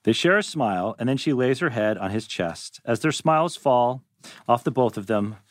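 A man's voice: medium pitch, expressive, normal speed, dry recording. A man reading scripted stage directions as narrator at a table read.

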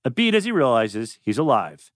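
A man narrating stage directions from a script in an animated way. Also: medium pitch, moderate pace, no room sound, studio quality.

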